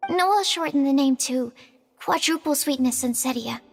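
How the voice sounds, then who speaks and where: A woman's voice: sits high, moderate pace, gravelly. A woman voicing a shy, earnest young female alchemist in a video game, modest and bashful, precise and technical in her phrasing. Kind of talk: video game character acting. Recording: studio voice over game music.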